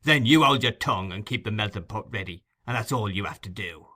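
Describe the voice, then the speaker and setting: A man voicing a brutish robber in an audiobook, gruff, surly and threatening. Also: lowish voice, lively intonation, brisk, sharp tone. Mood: curt, impatient.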